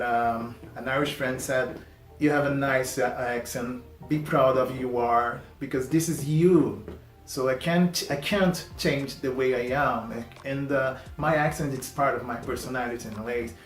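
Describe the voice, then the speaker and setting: A man with a Portuguese accent in his English, a native Portuguese speaker, hosting a casual reaction video, talking haltingly with many fillers and restarts. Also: mid-range voice. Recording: roomy echo, faint music.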